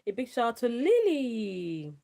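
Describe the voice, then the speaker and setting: Mellow woman, unhurried live streamer. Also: on the high side, wildly swooping pitch, creaky. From Nigeria. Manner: effusive, friendly, often repeats thanks and calls out names. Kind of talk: casual live-stream chat with shout-outs.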